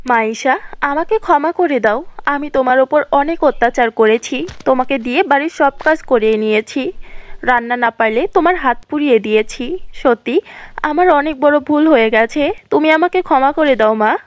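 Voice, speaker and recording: A woman's voice: sits high, normal speed, no echo, natural voice. A woman voicing a repentant, pleading stepmother in a cartoon fairy tale. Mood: remorseful, pleading. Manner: pleading, apologetic.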